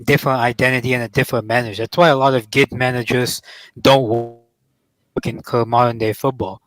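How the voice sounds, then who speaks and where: A man's voice: medium pitch, steady tone, brisk, fairly bright tone, poor audio. A man chatting casually as a football panelist in an informal, slangy way. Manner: informal, slangy, peppered with 'bro'. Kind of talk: casual chat.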